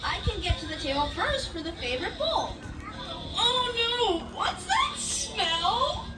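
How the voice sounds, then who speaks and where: An echoey stage recording of a woman voicing a chirpy village kid in a puppet show. The voice is extremely high and bouncy in pitch. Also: very animated, measured pace, recorded from a distance, exaggerated voice. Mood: grumbling, silly. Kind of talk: children's puppet show acting.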